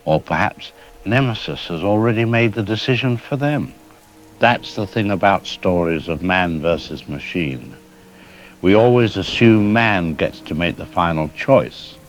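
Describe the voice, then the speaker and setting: Very deep, suave, sinister storyteller played by a man. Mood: sly, knowing. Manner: suave, musing, ominous. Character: sardonic, urbane, sinister host of dark tales. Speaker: narrator. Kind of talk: theatrical scripted audio drama narration.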